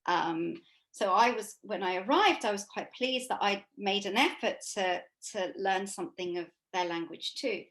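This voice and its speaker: A woman answers interview questions in an informal, conversational way. She is reflective and halting, working her thoughts out as she speaks, at a middling pitch. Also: fairly bright tone. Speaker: author being interviewed.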